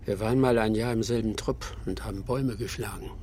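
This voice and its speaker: An actor playing a calm, sly, unflappable rural man in an audio drama, dryly polite and teasing. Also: fairly low pitch, fairly quick, background noise, natural voice. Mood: matter-of-fact.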